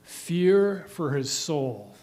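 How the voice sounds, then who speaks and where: A man's voice: a little high, animated, extremely slow, darkish tone. A man playing an impatient, confident young waiter in a staged reader's theatre reading. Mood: curt, dismissive.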